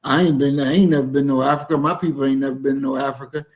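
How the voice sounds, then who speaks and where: A man's voice: medium pitch, fairly even intonation, fast talker. A man hosting a conversational podcast interview, hesitant and searching for words as he puts his questions.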